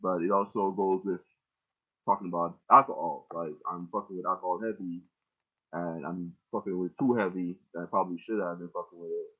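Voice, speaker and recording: Low-voiced young man on tinny audio. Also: steady tone, fairly quick, husky. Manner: loose, rambling, heavy casual profanity. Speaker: rapper explaining his lyrics. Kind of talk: casual line-by-line lyric breakdown.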